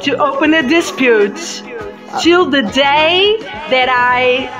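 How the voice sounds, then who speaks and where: A woman's voice: high-pitched, slow pace, over music. A woman with a Portuguese accent, Portuguese as her first language, hosting a live podcast chat with many hesitant fillers. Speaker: podcast host.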